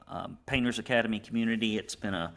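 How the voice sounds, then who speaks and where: A man's voice: lowish voice, fast, fairly bright tone. A middle-aged man with a Southern US accent, talking conversationally as an interview guest and telling stories about his work. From Alabama, United States. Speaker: guest, business coach and painting academy founder.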